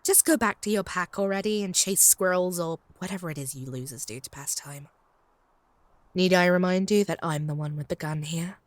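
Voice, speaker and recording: A woman's voice: on the low side, moderate pace, no echo, close to an everyday voice. A woman playing a possessive, haughty female vampire in a comedic roleplay audio drama, taunting and doting in a theatrical way. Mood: mocking.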